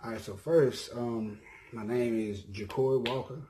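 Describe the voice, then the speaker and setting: A man's voice: medium pitch, fairly even intonation, unhurried, warm tone, a little hiss or hum. A man in his twenties from Mississippi with a Southern accent, casually introducing himself on his video channel, rambling with many ums and self-corrections. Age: 20s. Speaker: YouTuber.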